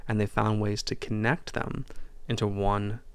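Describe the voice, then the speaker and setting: A man delivering a reflective, scripted podcast monologue as host, in a measured, earnest manner with deliberate pauses. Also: lowish voice, steady tone, smooth, no echo. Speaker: podcast host and audio drama writer.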